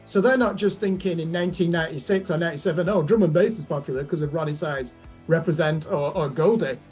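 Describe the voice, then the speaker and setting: A man's voice: on the high side, quick pace, a little mellow. A man co-hosting a casual music discussion, giving opinions with emphasis. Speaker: music reaction show co-host.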